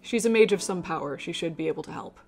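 Role: grave refugee leader, male, weary and measured, played by a woman. Low register, music underneath, tabletop fantasy game. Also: natural voice. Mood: guarded, uneasy. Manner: measured, grave, formal phrasing. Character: guarded, weary man, impatient refugee leader with a wife.

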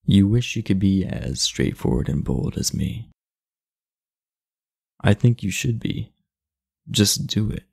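A man playing an aloof, blunt, muscular high school delinquent in an intimate ASMR roleplay, with a gravelly, husky voice and a laid-back, confiding manner. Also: darkish tone, heavily acted. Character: aloof, muscular, blunt teenage class delinquent, secretly earnest.

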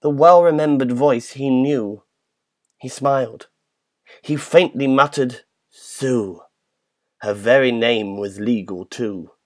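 A man giving a dramatic, measured recitation of a poem in a theatrical manner. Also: medium pitch. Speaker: poetry reader.

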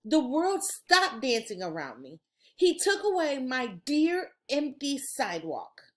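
A middle-aged woman reading a children's storybook aloud like a teacher, with dramatic, drawn-out emphasis. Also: high voice, wildly swooping pitch. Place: room at home. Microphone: video call, computer at desk distance.

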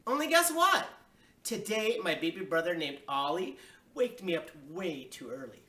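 A man voicing a bossy, excitable kindergarten girl in a storybook, with emphatic childish grammar, in an echoey room. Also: high-pitched, normal speed, voice pushed up, heavily acted. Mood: chatty, proud. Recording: roomy echo, distant microphone.

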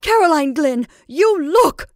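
A woman's voice: high voice, lively intonation, slow, dry recording, studio quality, voice pushed up. A woman voicing an easily alarmed married lady in a story, agitated and fearful.

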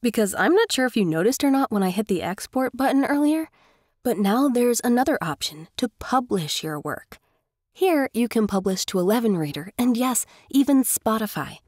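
A woman's voice: on the high side, a little mellow, no echo, studio quality. A woman narrating a scripted tutorial in a conversational, enthusiastic way as its host. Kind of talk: scripted tutorial narration over screen recording.

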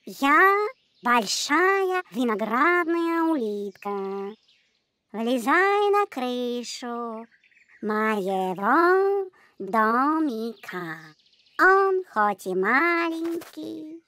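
A woman's voice: on the high side, big pitch swings, very slow, sharp tone, no echo, full character voice. A woman voicing a big, kindly female snail in a cartoon, drawling and soothing. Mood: kindly, unhurried. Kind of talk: cartoon voice acting.

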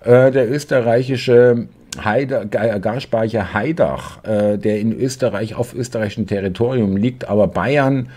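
A man's voice: on the low side, unhurried, warm tone. A man in his forties giving sarcastic political commentary while reading news articles aloud from his desk, full of hesitations.

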